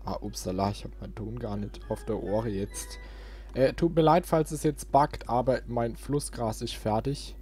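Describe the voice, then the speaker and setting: A man's voice: medium pitch, normal speed, warm tone. A man giving casual, informal let's play commentary, often muttering to himself. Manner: informal, muttering self-talk. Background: game music.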